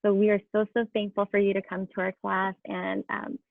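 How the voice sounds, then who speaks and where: A woman's voice: medium pitch, completely flat delivery, brisk, creaky, no echo. A woman teacher moderating a class video call, speaking casually and encouragingly as if to young children. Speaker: elementary school teacher moderating questions. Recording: tinny, phone-like video call audio.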